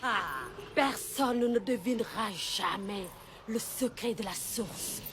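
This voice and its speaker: A woman voicing a menacing, imperious sorceress in an animated film, commanding and ominous. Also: fairly high pitch, slow, a little hiss or hum.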